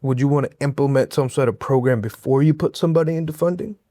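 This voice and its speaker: A man's voice: medium pitch, warm tone, dry recording. A man in his late twenties co-hosting a podcast, easygoing and asking questions in casual conversation. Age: 20s. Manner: easygoing, asks questions, quick backchannels.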